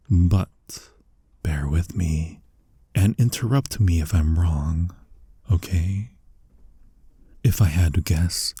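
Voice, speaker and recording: A man voicing a flirty, tender incubus demon in an ASMR roleplay, breathy and husky, coaxing slowly and reassuringly, often sinking into a whisper. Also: lowish voice, warm tone, no room sound, clean studio sound, exaggerated voice. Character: flirty male incubus demon, tender, soothing, gently dominant. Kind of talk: ASMR roleplay, intimate comforting monologue.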